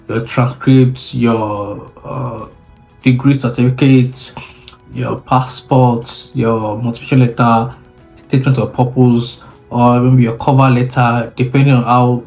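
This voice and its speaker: A man's voice: medium pitch, a little mellow, low-quality recording. A young man from Nigeria with a Nigerian English accent, telling his own story in a casual vlog and often restarting his sentences. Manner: unhurried, with frequent restarts and self-corrections. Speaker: vlogger.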